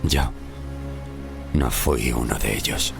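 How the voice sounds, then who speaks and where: Man acting a very deep, gravelly haunted old-world sailor. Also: heavily acted. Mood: grim, brooding. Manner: solemn, deliberate, brooding. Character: haunted poor 16th-century Castilian sailor, grim and fearful. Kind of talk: dramatic first-person horror audio fiction monologue. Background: music.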